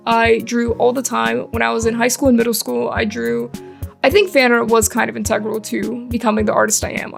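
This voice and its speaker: High-voiced young American woman, rambling and creaky. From England and Eastern Europe, later New York. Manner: rambling, self-deprecating, giggly. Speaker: art YouTuber. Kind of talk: casual chatty speedpaint commentary. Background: background music.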